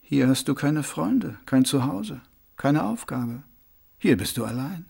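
A middle-aged watchman, acted by a male audiobook reader, worn out and dazed but inwardly on guard. His speech is halting and muttered, with a husky, gravelly edge and lively swings in pitch. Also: full character voice. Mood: shaken. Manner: halting, muttering, absent-minded.

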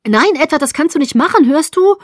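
Woman voicing a frantic, defiant teenage girl, high-pitched. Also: animated, normal speed, no room sound, studio quality. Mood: desperate pleading. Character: frightened, defiant teenage girl pleading and shouting.

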